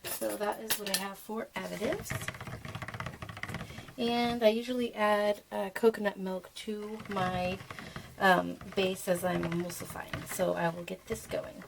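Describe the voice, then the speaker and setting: A woman with a hoarse, froggy voice from being sick, casually walking through a soap-making demonstration with many fillers. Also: medium pitch, measured pace, background noise. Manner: relaxed, rambling, with many fillers. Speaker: soap maker and channel host.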